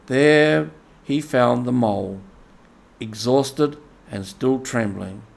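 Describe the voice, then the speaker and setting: A man reading a classic children's story aloud as scripted narration, in a measured, deliberate storytelling manner. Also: medium pitch, creaky. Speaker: audiobook reader.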